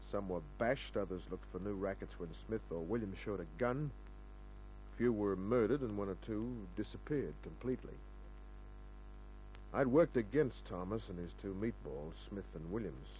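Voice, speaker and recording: A man's voice: fairly low pitch, normal speed, darkish tone, faint music behind, natural voice. An Australian man acting a wry, tough, flirtatious private investigator in a laconic 1950s radio crime drama style. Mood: matter-of-fact narrating. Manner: laconic, wisecracking. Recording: noisy old radio recording with hum.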